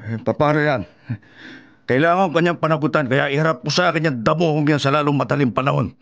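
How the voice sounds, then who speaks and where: A man's voice: fairly high pitch, fast, a bit bright, dull audio. An actor playing a stern, demanding father of grown children in a radio drama. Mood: stern, outraged.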